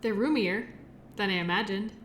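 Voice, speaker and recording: A woman's voice: medium pitch, moderate pace, fairly bright tone, slight background noise, lightly acted. A woman playing a shy, awkward, kindly medical worker in an audio roleplay, talking in a hesitant, rambling, apologetic way. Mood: musing, nervous. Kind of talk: audio roleplay acting, addressing the listener.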